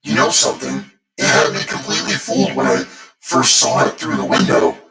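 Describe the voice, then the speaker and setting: A man's voice: mid-range voice, monotone, brisk, vocal fry, bright, forceful tone, voice pushed up. A man voicing a naive, curious young male lodger in a spooky story, speaking courteously and a little hesitantly. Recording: echoey, distant voice over music.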